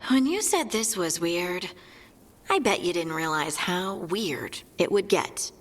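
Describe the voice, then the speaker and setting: A woman's voice: on the low side, big pitch swings, slow pace, in a large hall, exaggerated voice. A woman playing an earnest, plucky young scout in a fantasy video game, with a conversational manner. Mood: troubled, earnest. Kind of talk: naturalistic video game acting.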